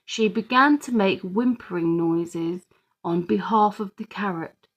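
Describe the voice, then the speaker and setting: A woman narrates a children's book. She reads from a script at a leisurely pace. Her mid-pitched voice carries a clear creak. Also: unhurried, lo-fi sound. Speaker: audiobook reader.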